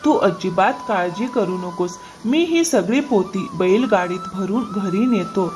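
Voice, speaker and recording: A woman's voice: mid-range voice, expressive, a little slow, natural voice. Cartoon farmer, male, played by a woman: sly, ingratiating false friend, medium register, light music bed. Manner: ingratiating, excuse-making. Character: scheming, smooth-talking male farmer feigning friendship. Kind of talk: cartoon moral-story dialogue.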